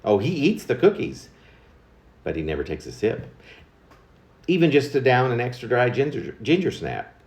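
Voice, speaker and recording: A man recites a humorous narrative poem with a lively, widely moving pitch. His delivery is wry and confiding, like a storyteller sharing gossip. The voice sits at a middle pitch in a noticeably echoey room. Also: normal speed, long pauses. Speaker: poet reading own work.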